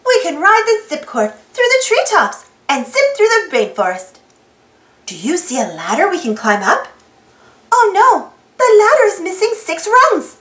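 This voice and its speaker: Very high child-like voice, swooping animated intonation, exclaiming read-aloud for kids, echoey room. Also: unhurried. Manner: exclaiming, prompts young listeners with questions. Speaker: storybook reader and channel host.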